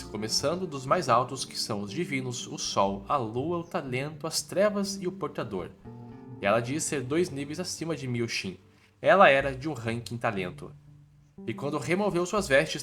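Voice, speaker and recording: A man's voice: mid-range voice, pushed and bright. A man with a Brazilian accent narrates a story recap in an informal, dramatic way, with colloquial asides.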